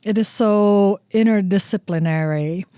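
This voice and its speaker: A middle-aged woman from the Czech Republic, a research guest answering interview questions in a thoughtful, measured way. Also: mid-range voice, mellow, clear, resonant tone. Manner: thoughtful, measured, with frequent "you know" fillers.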